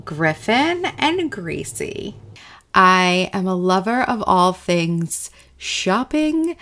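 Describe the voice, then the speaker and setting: A woman's voice: fairly low pitch, creaky. A woman, hosting her own podcast in a chatty, candid, self-deprecating way. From United States. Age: 40s. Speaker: podcast host and goal coach. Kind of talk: casual solo podcast talk.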